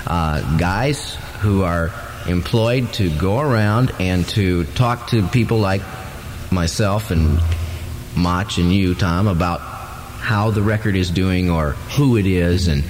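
A man's voice: low-pitched, slow, darkish tone, slight background noise. A man hosting morning radio with loose, ad-libbed DJ chat.

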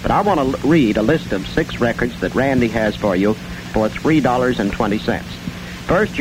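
An American man does a folksy radio DJ sales pitch in colloquial 1960s style. His voice sits at a middling pitch. The recording is an old AM broadcast with clear noise throughout. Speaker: radio DJ reading mail-order record ads. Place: radio studio. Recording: noisy 1960 AM radio aircheck.

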